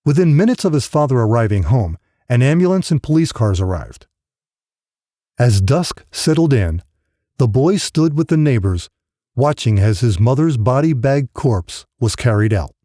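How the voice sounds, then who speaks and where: Mellow, expressive male audiobook narrator. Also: mid-range voice, normal speed, dry recording, studio quality. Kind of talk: scripted narration of a grim novel.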